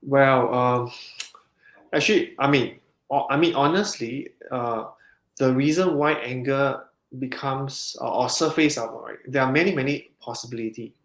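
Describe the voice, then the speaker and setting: A man, a counselling psychologist, explaining in a measured way in a podcast interview, with frequent restarts. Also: mid-range voice, a bit bright. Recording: roomy echo.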